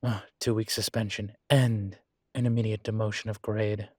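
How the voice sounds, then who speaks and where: A man acting as a composed, courteous college professor in an intimate audio roleplay, speaking with measured, formal politeness and dry wit. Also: fairly low pitch, little pitch movement, a little mellow, husky, dry recording. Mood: dry, matter-of-fact. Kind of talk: intimate scripted one-sided roleplay dialogue.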